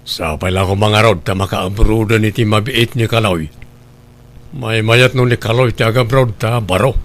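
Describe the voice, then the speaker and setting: A man's voice: on the low side, steady tone, moderate pace, lightly acted. Guy playing sour scheming husband in a radio drama, a farmer who grumbles along. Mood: calculating. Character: resentful, indebted married farmer, calculating husband. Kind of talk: Ilocano radio drama acting.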